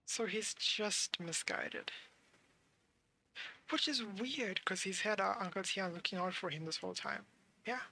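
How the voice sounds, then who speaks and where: A woman's voice: medium pitch, a little slow, gravelly, pauses often, bright, forceful tone. A woman streaming a game, giving casual commentary and musing aloud in an informal way. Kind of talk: casual live gameplay commentary.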